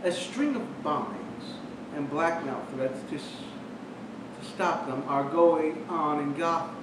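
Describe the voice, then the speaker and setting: A man gives a rambling, casual episode review and restarts his sentences as he retells the plot. He talks slowly and sits far from the mic in a very echoey room, with a clear rumbling noise underneath. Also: animated.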